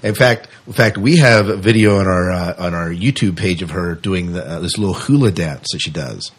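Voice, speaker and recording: A man's voice: deep voice, moderate pace, breathy edge. A man co-hosting a podcast, chatting in an informal, agreeable way. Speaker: podcast co-host. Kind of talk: casual chat.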